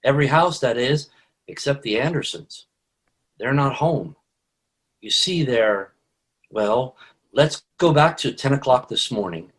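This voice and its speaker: An actor playing a folksy, genial narrator who sets the scene in 1950s radio storytelling style. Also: male voice, mid-range voice, expressive, unhurried, pauses often, a bit bright, breathy edge.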